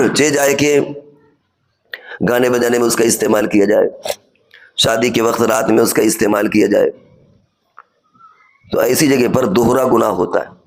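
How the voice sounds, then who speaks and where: Male cleric, medium pitch, instructive Friday sermon delivery, reasoned and explanatory. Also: normal speed, creaky, long pauses. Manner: didactic, reasoning step by step with examples and rhetorical questions. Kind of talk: sermon, explanatory religious lecture.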